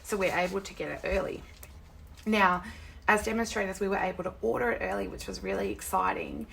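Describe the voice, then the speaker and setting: A young woman talks through a home craft live stream, her voice croaky and gravelly from a sore throat. Her pitch sits in a middling range, and her chat is loose, rambling and apologetic. A faint hum of background noise sits behind her. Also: big pitch swings, a little fast, fairly bright tone, low-quality recording. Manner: chatty and rambling, greets viewers by name, apologetic.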